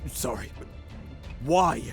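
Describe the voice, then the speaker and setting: A man's voice: mid-range voice, lively intonation, takes it slowly, creaky, faint music behind. An actor playing a nervous, submissive henchman in an audio drama, hesitant and deferential. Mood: frightened, submissive. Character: frightened, submissive male getaway-driver henchman.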